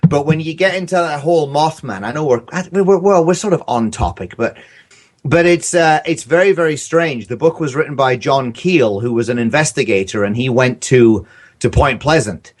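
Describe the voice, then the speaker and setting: A man's voice: mid-range voice, lively intonation, moderate pace. Rambling man in casual podcast chat. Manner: rambling, hesitant storytelling. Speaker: podcast guest, audio drama creator.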